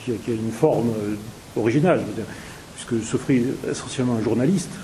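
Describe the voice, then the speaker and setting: Man, playwright, mellow voice, halting, faint hiss. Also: mid-range voice, poor audio. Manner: halting, frequent fillers. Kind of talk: discussion of theatre work.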